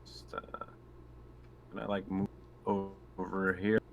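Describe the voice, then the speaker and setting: A man's voice: sits low, little pitch movement, takes it slowly, vocal fry, lo-fi sound. A man playing an online tabletop game, chatting casually with the other players. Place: online voice call. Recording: noticeable background noise on voice call.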